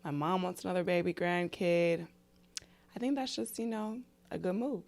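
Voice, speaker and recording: A woman's voice: lowish voice, moderate pace, creaky, a little mellow. A woman in her thirties co-hosting a family vlog, chatting casually in an earnest, persuasive way. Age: 30s. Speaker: co-host of a couple's family vlog. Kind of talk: casual conversational chat.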